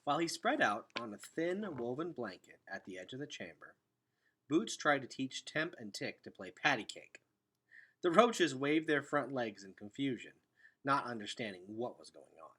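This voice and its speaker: Male read-aloud narrator for children's fiction: bright, animated, slightly raspy, expressive. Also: mid-range voice, normal speed.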